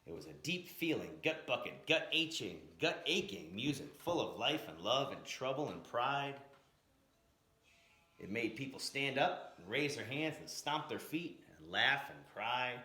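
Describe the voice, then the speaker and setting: A man reading a story aloud in an expressive, dramatic narrating style with emphatic, rhythmic phrasing. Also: mid-range voice. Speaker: storyteller reading a picture book aloud, blues educator. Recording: roomy, echoing room sound.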